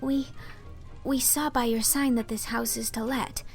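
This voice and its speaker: Woman as an earnest, gentle young college girl. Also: on the high side, steady tone, unhurried, soft background music, voice pushed up. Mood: timid. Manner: gentle, hesitant, heartfelt. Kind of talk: audiobook character voice.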